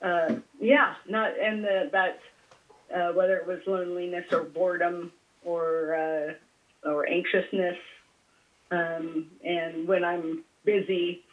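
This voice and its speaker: A woman speaks through a thin, narrow phone connection. She talks casually as a patient, in a halting way, often leaving thoughts hanging as she searches for words. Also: fairly low pitch, little pitch movement. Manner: hesitant, halting, trailing off between thoughts. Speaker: patient on a call. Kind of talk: casual personal conversation. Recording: thin, band-limited phone call.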